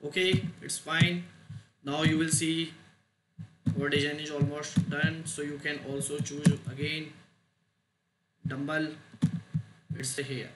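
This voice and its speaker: A man giving a step-by-step software tutorial in short, halting phrases with non-native phrasing. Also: fairly high pitch, little pitch movement. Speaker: tutorial instructor. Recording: roomy echo, loud noise, clicks.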